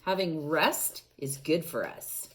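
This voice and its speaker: A woman reads a picture book aloud to young children, pausing often to ask them questions. Her voice sits fairly low and swoops with lively expression. She speaks slowly and at times drops to a hushed whisper. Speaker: storyteller reading to children. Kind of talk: children's storybook read-aloud.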